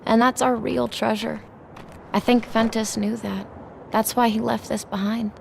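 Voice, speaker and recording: A woman voicing a gentle, wistful young heroine in a game cutscene. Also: a little high, level tone, unhurried, gravelly, long reverb tail, slight background noise. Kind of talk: animated game cutscene acting.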